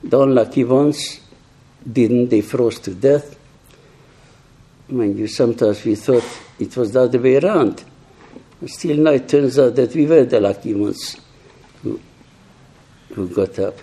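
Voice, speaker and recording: An elderly man recounts his memories slowly, in halting, reflective phrases with frequent restarts. His voice is very dark and mellow, with a husky grain. He is hard of hearing. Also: medium pitch. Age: older, 80s or 90s. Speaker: survivor giving testimony to young people. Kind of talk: personal testimony talk.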